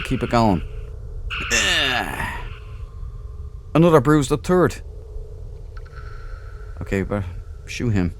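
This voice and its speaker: A man's voice: fairly low pitch, background noise. A man giving casual, jokey and crude commentary as a let's play host.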